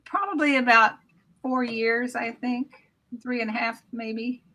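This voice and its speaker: A woman's voice: a little high, fairly even intonation, unhurried, bright, forceful tone, rough recording. An American woman in her seventies from Oklahoma, casually reminiscing as a guest author on a video call. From Oklahoma, United States. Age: 70s. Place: small room at home, indoors. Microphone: computer mic on video call.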